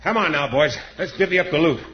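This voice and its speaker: An actor playing a tough, menacing Western outlaw with folksy threats in a radio comedy. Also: male voice, on the high side, lively intonation, fast, natural voice. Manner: gruff threats in folksy cowboy slang. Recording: dull, very noisy old radio recording.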